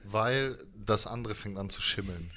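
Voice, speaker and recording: Male musician guest, thirties, lowish voice, playful off-the-cuff chat on a handheld mic. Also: takes it slowly, sharp tone. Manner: joking, playful. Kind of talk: casual chat. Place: living-room-like music room indoors. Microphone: handheld microphone.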